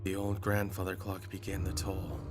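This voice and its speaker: Low-voiced man narrating horror over music. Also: completely flat delivery, moderate pace, darkish tone. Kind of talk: scripted horror story narration, first person. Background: prominent atmospheric music.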